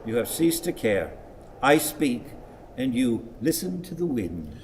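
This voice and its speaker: Radio drama: grumpy old husband, ponderous and declamatory, very animated pitch, long reverb. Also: male voice, a little slow, a little hiss or hum. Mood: sardonic. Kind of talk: theatrical radio play acting.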